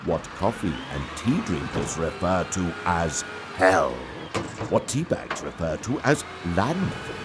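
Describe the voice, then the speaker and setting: Man, storyteller, low pitch, hugely varied intonation, theatrical, mock-grave, dramatic pauses. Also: sing-song, very noisy. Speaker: storyteller reading own short story. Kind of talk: dramatic scripted story narration.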